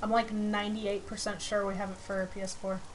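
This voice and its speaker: A woman streams a game with casual, exclaiming chatter. Her voice sits at an ordinary female pitch. There is clear rain-like noise behind her. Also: level tone, fairly quick. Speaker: gaming streamer. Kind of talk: casual co-op let's play chat.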